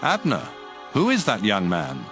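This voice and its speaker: A man's voice: lowish voice, huge pitch swings, measured pace, over music. An actor playing a commanding king in an audio Bible drama, with a regal, authoritative manner. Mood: inquiring, commanding. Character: commanding Israelite king addressing his soldiers.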